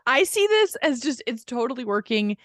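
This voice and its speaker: A woman's voice: high voice, fairly bright tone, no room sound, studio quality. A woman co-hosting a podcast in casual chat with a friend, gushing and affirming, rambling in a giggly, self-deprecating way. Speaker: podcast co-host.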